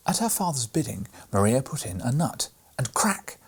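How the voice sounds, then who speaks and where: Expressive husky male storyteller. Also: medium pitch, moderate pace. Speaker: reader, channel host. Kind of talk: audiobook narration of a children's story.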